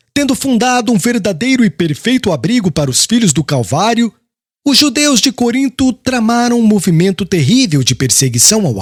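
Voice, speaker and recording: A man narrating an audiobook in a solemn, formal storytelling manner. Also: high voice. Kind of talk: scripted literary narration. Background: music.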